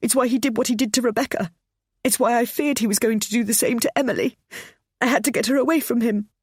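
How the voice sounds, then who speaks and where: A woman's voice: normal speed, a little mellow, husky, dry recording, clean studio sound. Woman voicing a sobbing mother. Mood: distraught. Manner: high, pleading, breaking voice. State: tearful. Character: frightened middle-aged mother, sobbing confession.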